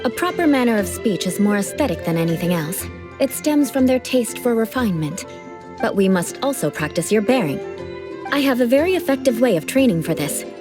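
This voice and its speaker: A woman's voice: on the high side, sing-song, fairly quick, music in the background. An actress playing a proud young female knight of an aristocratic clan, who speaks in a formal, aristocratic manner. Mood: composed.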